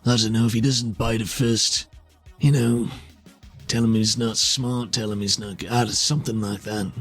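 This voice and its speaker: A man's voice: fairly low pitch, flat delivery, moderate pace, soft background music. A man voicing a weary, guarded soldier in heavy armor, speaking bluntly and tersely in a tabletop roleplaying game. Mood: guarded, hesitant.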